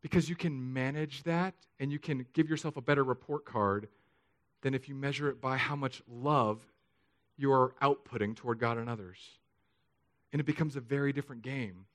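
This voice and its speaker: A man in his thirties from Southern California, preaching a sermon in an earnest, confessional way that swings between soft and emphatic. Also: medium pitch, normal speed. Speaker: pastor.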